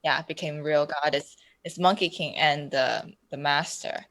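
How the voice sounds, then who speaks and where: A woman in a casual podcast discussion, speaking haltingly as she searches for words. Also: low-pitched, a bit bright, dry recording. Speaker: podcast guest.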